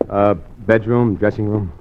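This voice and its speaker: A man's voice: fairly low pitch, fairly even intonation, unhurried, noisy background, lightly acted. An American actor playing a nervous, scheming husband who narrates his own crime in an old-time radio drama. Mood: nervous, coaxing. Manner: tense, hesitant, stammering in dialogue. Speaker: narrator and lead character. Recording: dull vintage radio recording with music.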